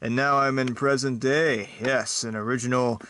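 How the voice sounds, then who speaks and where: A man's voice: mid-range voice, fairly even intonation, normal speed, pushed and bright, a little hiss or hum, close to an everyday voice. A man voicing a boastful, clueless superhero who rambles and bumbles.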